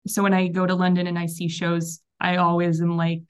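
A young woman with an Israeli accent chats easily as a podcast guest, on the lower side for a woman's voice. She talks in a lively, self-mocking way, often doubling back mid-sentence. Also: a little fast, clean tone. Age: 20s. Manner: chatty, animated, self-deprecating with repeated restarts. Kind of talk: casual chat.

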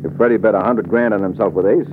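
An actor playing a wry, self-assured private detective with an American accent, glib and slangy in an old radio drama. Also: male voice, fairly low pitch, background noise, natural voice. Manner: glib, slangy, unhurried reasoning. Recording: muffled narrow-band old radio recording.

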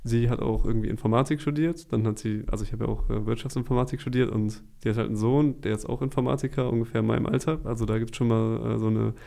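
A man's voice: medium pitch, steady tone, fast talker, gravelly, hardly any pauses, mellow. A young man chatting casually on a podcast in a laid-back, joking way with plenty of youth slang. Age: young adult. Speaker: podcast host.

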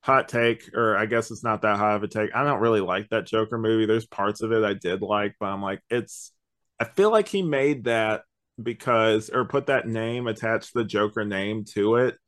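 A man's voice: lowish voice, normal speed, fairly bright tone. A man co-hosting a podcast, giving rambling, slangy opinions in a casual review chat. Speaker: podcast co-host.

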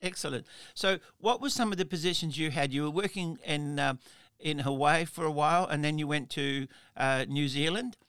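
A bright, fairly high-voiced man hosts a relaxed interview. He circles his questions with restarts and chuckles, and the sound is dry and close. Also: normal speed, smooth, dry recording. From Australia. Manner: rambling questions with frequent restarts and laughs. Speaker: interview host. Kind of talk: casual conversational interview.

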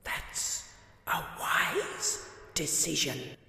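A man voicing Death as a sinister old man in a breathy, hissing whisper, calm and commanding, for a fairy tale. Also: on the high side, drawn-out words, reverberant, in a large hall, voice pushed up, full character voice. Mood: solemn, ominous.

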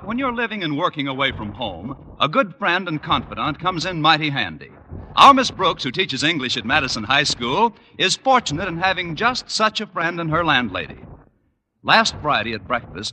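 A man's voice: on the high side, sing-song, moderate pace, bright, forceful tone. A man announcing and narrating a vintage radio show in a polished, emphatic scripted style. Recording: noisy old radio recording, long reverb.

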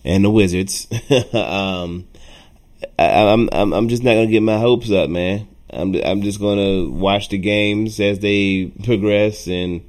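Casting: low-voiced man, easygoing co-host, casual cursing. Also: unhurried, a little mellow. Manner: laid-back, chuckles, swears casually. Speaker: podcast co-host. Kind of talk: casual sports talk.

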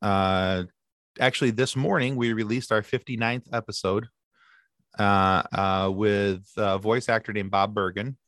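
Animated man telling podcast stories. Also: fairly low pitch, no room sound, studio quality. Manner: animated storyteller, quick asides and restarts. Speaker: podcast guest, sci-fi podcast co-host. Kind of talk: casual interview chat.

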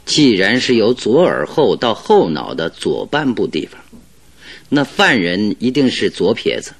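Composed, deep-voiced detective, animated delivery. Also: male voice, measured pace, heavily acted. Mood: thoughtful, assured. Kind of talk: Mandarin radio drama acting.

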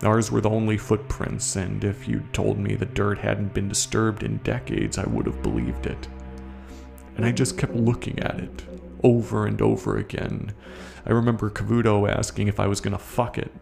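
Haunted male veteran, played by a man, horror audio drama. Lowish, very creaky, coarse and guilt-ridden, clear music underneath. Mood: brooding, haunted. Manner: confessional, coarse, halting. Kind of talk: first-person horror audio story narration.

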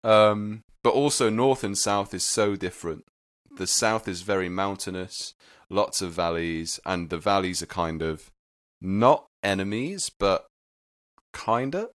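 Very deep voice, young man, mixed British accent, dry close sound, chatty guest. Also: unhurried, fairly bright tone, no echo. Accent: mixed British, slightly London. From Wales. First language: Welsh. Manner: chatty, self-deprecating, many fillers. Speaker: podcast guest. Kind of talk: casual chat.

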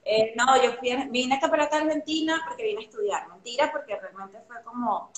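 A young woman from Venezuela with a Venezuelan accent, chatting casually as an interview guest, animated and full of fillers. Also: on the high side, fairly quick, a crowd in the background. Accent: Venezuelan Spanish. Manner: chatty and animated, many fillers, laughs easily. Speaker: guest artist. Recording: roomy echo.

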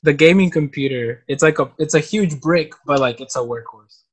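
A man's voice: fairly high pitch, normal speed, slightly rough. A man in his twenties, a native Spanish speaker from Mexicali and San Diego, chatting casually in slangy English as an interview guest. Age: 20s. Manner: slangy, says "bro" often. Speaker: guest, music producer. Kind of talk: casual chat.

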